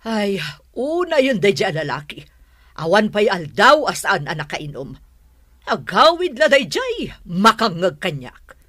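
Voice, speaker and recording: A woman's voice: medium pitch, big pitch swings, unhurried, a bit bright, dry recording, studio quality. An actress playing a disapproving, nagging mother of a grown son in a radio drama. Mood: irritated.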